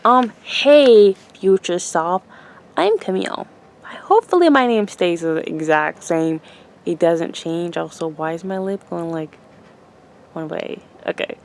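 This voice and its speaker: A woman's voice: lowish voice, animated, a little slow, gravelly, a little hiss or hum. An eighteen-year-old woman rambling casually through a video letter to her future self, with lots of fillers and restarts. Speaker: vlogger.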